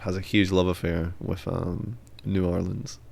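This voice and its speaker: A man's voice: sits low, little pitch movement, measured pace, vocal fry, a little mellow, breathy edge. A man co-hosting a casual podcast chat, teasing and swearing in a dry way. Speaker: podcast co-host.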